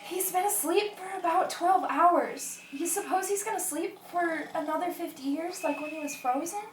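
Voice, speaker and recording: A woman playing a superstitious, easily frightened sailor sidekick in a radio play, excitable and folksy in manner. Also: very high-pitched, normal speed, distant mic, a little hiss or hum. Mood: uneasy. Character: jumpy, superstitious young-sounding sailor sidekick, easily terrified. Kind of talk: amateur radio drama acting. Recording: echoey room, away from mic.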